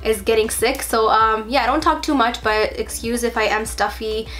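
A woman's voice: on the high side, steady tone, moderate pace, soft background music. Stuffy-nosed young woman vlogger. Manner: chatty, lots of fillers, quieter while applying makeup. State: stuffy with a cold. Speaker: beauty vlogger. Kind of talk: casual makeup tutorial. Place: bedroom at home.

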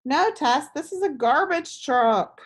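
A patient child from a picture book, read out by a woman. The pitch is lifted and lively, and each answer is emphatic and clearly instructive. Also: slow pace. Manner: emphatic, instructive storybook delivery.

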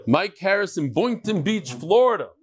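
A middle-aged man leads a daily Talmud class in a large wood-paneled study hall, reading emails aloud. His voice sits a little high, and he throws chatty asides and Hebrew terms to the room around him. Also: big pitch swings, normal speed. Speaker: Talmud teacher.

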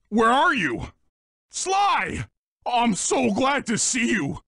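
A man's voice: extremely high pitch, vocal fry, bright, forceful tone, no room sound, studio quality, heavily acted. A man playing a big, dim but loyal cartoon hippo strongman in a video game, boastful and childlike, with bombastic delivery. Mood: alarmed shouting. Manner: bombastic, speaks of himself in third person.

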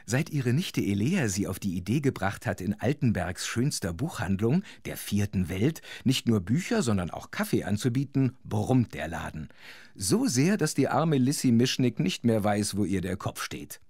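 A man's voice: on the low side, very animated, normal speed, a little mellow, breathy edge, no room sound, studio quality. A man playing a chatty, sympathetic storyteller, narrating a children's audio drama with wry, conversational asides. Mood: amused sympathy.

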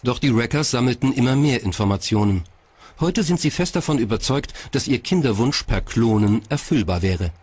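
A man's voice: medium pitch, lively intonation, normal speed, darkish tone, breathy edge, no room sound. A man reading scripted documentary narration as a narrator.

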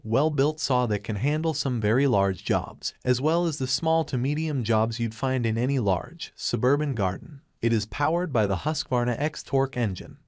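Male VO, scripted product review read, even delivery, dead-dry booth sound. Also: medium pitch, dry recording.

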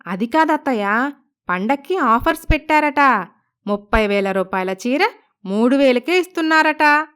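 A woman's voice: high voice, animated, measured pace, fairly bright tone, clear, resonant tone. A woman voicing a polite, coaxing young daughter-in-law with a scheming streak in a cartoon moral story. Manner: respectful, coaxing. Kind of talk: Telugu animated moral story voice acting.

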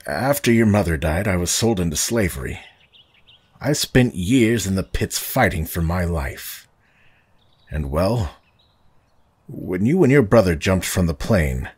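An actor playing a remorseful middle-aged father, a starship captain, with a husky voice in an audio drama. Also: lowish voice, expressive, measured pace, pauses often.

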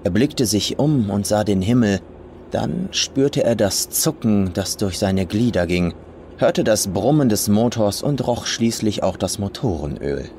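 A man's voice: fairly low pitch, animated, a little slow, vocal fry, a little mellow, slight background noise. A man reading a scripted horror story as a dramatic audiobook narrator. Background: faint atmospheric music.

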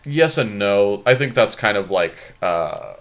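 A man's voice: lowish voice, animated, a little slow. A man giving casual commentary on a game, thinking his moves through aloud. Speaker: podcast host and card game player.

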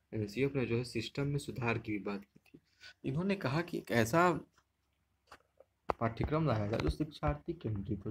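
A man with a Hindi accent walks through an exam-prep lesson, annotating slides as he talks. His voice sits at a middle pitch. A faint hiss runs under the screen recording. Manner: explanatory, repeats points, checks with "ठीक". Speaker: teacher. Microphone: screen recording, unseen speaker.

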